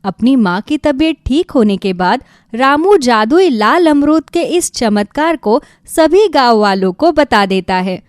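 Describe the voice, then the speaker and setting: A woman narrating a scripted children's moral story in an expressive storytelling manner. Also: sits high, a little slow, no echo, studio quality.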